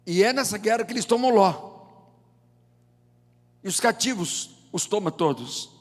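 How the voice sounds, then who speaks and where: A man's voice: high-pitched, expressive. Brazilian pastor in his seventies, reverberant hall. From João Pessoa, Paraíba, northeast Brazil. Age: 70s. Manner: deliberate, emphatic phrasing with rhetorical questions and pauses. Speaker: pastor, preacher. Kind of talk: sermon with scripture reading and prayer. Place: large indoor church auditorium. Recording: long hall reverb of church auditorium.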